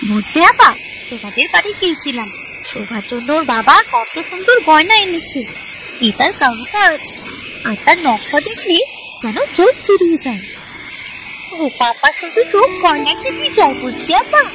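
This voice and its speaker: A woman voicing a spoiled, demanding young village girl in a cartoon, whiny and excitable. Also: sits high, moderate pace, background noise. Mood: excited, envious. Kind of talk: cartoon voice acting. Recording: music under the voice.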